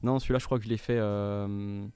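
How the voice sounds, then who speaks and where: A man's voice: fairly low pitch, steady tone, fairly quick, mellow, clean tone, no echo. A young man from French Guiana, a musician guest on a radio interview, talking hesitantly with many fillers and restarts.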